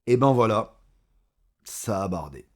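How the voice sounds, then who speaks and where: Audio drama villain: an ageing corrupt boss, deep-pitched, falsely polite, condescending and cold. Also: male voice, lively intonation. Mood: dry satisfaction. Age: older. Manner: measured, sardonic, condescending. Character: older corrupt mayor and crime boss, coldly menacing, falsely courteous.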